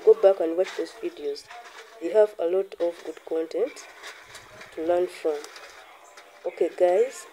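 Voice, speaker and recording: A young woman hosting her channel, giving clear step-by-step instructions as an outdoor phone-filmed lesson. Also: fairly low pitch, measured pace, long pauses, mellow, rough recording. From Uganda. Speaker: channel host. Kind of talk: how-to voice-over.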